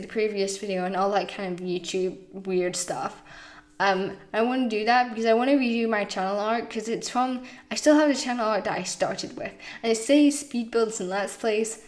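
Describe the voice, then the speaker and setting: A woman chatting casually in a rambling voiceover over gameplay footage, losing her voice, with frequent fillers and restarts. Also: fairly high pitch. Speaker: YouTube game builder.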